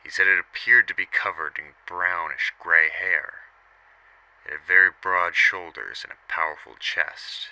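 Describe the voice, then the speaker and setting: A man voicing a grown man who recounts, in a measured first-person telling, what his father saw one night when he was a boy. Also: low-pitched, sharp tone, no echo, heavily acted, low-quality recording. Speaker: voice actor reading a witness letter. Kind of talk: first-person storytelling narration.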